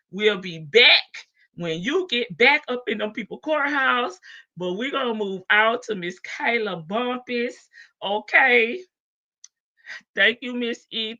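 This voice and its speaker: Female livestream host, unhurried, playful gossip delivery, frequent laughs. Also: fairly high pitch, bright, forceful tone, lo-fi sound. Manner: chatty and playful, laughs often, greets viewers by name. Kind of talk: casual gossip commentary on a court case.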